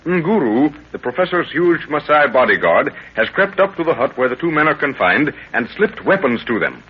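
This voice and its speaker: A man narrating a jungle adventure serial as its announcer, in a portentous, deliberate, theatrical 1930s radio style. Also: on the high side, very animated, breathy edge. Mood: urgent, dramatic. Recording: muffled, noisy 1930s radio recording.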